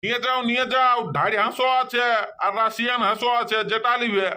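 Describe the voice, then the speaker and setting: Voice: steady tone, fairly quick, bright, forceful tone, natural voice. A performer voicing an insistent old village street seller with a Purulia Bengali accent in a comedy cartoon. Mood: hawking. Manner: insistent, repetitive street-hawker sales pitch.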